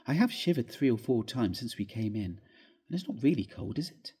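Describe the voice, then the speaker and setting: Male reader as anxious young wife, soft dark tone, hesitant, subdued, gentle, storybook character. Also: medium pitch, sing-song, a little fast, husky. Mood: uneasy, subdued.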